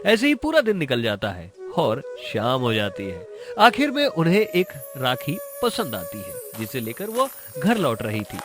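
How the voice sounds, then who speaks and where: A man narrates a scripted horror tale. His mid-pitched voice moves up and down in a lively way, with music clearly behind it. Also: measured pace, hardly any pauses.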